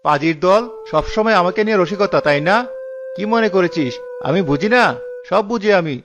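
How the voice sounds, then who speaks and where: A man's voice: high voice, normal speed, over music. A man voicing a grumpy, boastful young penny-pinching villager in a Bengali cartoon, with an indignant, blustering delivery.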